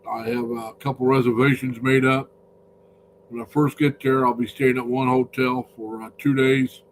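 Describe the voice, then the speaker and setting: A man chats loosely on a live stream, his voice deeper than usual from a cold. He rambles and greets viewers by name, at a middling pitch, over a faint hum. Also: level tone, moderate pace. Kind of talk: casual live-stream chat.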